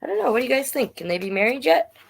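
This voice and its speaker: A woman's voice: high voice, very animated, rapid-fire, creaky. A woman hosting a children's livestream storytime as a drag king, chatting directly to viewers between pages.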